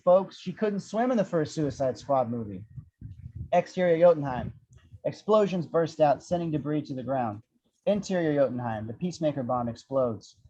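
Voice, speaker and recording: A man's voice: on the high side, mellow. A man narrating action descriptions at a script table read, with irreverent, wry asides. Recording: dull, lo-fi audio.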